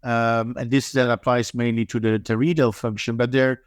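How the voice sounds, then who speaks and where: Man, resonant clear voice, halting interview answers, fillers and restarts. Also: mid-range voice, flat delivery, dry recording, clean studio sound. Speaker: guest, managing director of an anti-scam nonprofit. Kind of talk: interview answers, thoughtful and hesitant.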